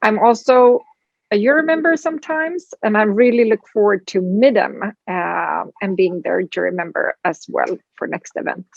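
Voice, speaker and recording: A woman's voice: mid-range voice, normal speed, gravelly, no echo, dull audio. A woman speaker coach introducing herself on a panel in an upbeat, enthusiastic way. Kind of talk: casual self-introduction.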